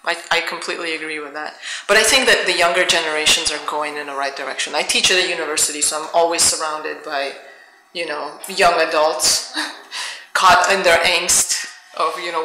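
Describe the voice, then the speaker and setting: Female author at live Q&A, middle-aged, reflective and searching, very bright tone, roomy sound. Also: low voice. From Soviet Union. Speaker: author and university teacher, guest speaker. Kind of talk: answering audience questions at a book talk. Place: indoor public event room. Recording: echoey room, faint background noise, clicks.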